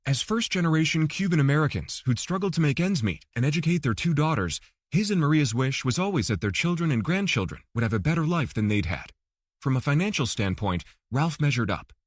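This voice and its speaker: A man's voice: a little high, big pitch swings, fairly quick, no room sound, clean studio sound. A man reading scripted fiction as an audiobook narrator.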